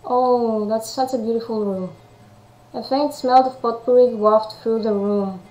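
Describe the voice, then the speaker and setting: A woman's voice: a little high, fairly even intonation, measured pace, mellow, roomy sound, a little hiss or hum, rough recording. A woman with a Greek accent and non-native English, reading a visual novel aloud and commenting as she plays. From Greece. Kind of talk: game playthrough with read-aloud narration and chatty commentary.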